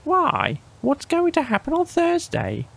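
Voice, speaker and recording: A man voicing a brisk, self-important rabbit for a children's storybook. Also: very high-pitched, wildly swooping pitch, gravelly, fairly bright tone, pitched above the performer's own voice, exaggerated voice. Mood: puzzled. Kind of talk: children's audiobook character voice.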